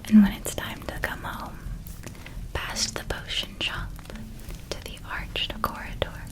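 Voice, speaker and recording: A woman playing a gentle, nurturing guardian witch in an ASMR roleplay, speaking in a soothing, breathy whisper. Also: natural voice, rough recording. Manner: slow, soothing, tender.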